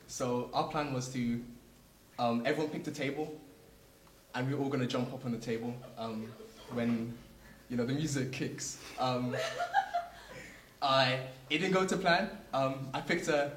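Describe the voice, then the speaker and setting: A man's voice: medium pitch, big pitch swings, measured pace, echoey room, slight background noise. A young man telling a story on a panel game, hesitant with many ums. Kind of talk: casual anecdote.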